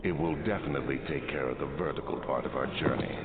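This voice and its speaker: A man playing a grave old baron in a video game, measured and formal, with a gravelly voice. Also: rumbling low, very noisy. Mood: calm, ominous. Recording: phone-like game audio under loud music.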